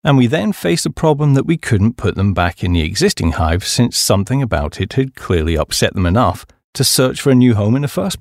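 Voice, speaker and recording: A man's voice: lowish voice, dry recording, studio quality. A middle-aged British man reading a humorous memoir as an audiobook, with a wry storytelling delivery. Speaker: audiobook narrator.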